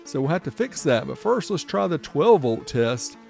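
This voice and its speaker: A man's voice: on the high side, sing-song, normal speed, gravelly, a little mellow, faint music behind. A man hosting a product test video, explaining each step and reading out results as he demonstrates. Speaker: host of a car audio amplifier test video. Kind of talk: demonstration narration with test readouts.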